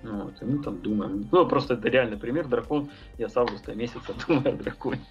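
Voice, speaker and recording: A man's voice: mid-range voice, quick pace, dull audio, over music. A man talking as a podcast guest, thinking aloud about his tattoo and painting work, rambling with many fillers and hesitations. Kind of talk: casual podcast conversation.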